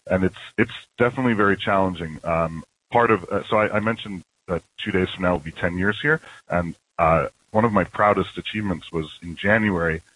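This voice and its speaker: Deep husky man on a phone line. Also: fairly quick, few pauses, a bit bright. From New York. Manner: friendly, chatty with light laughs. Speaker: company CEO guest. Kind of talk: phone-in interview. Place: at home at night.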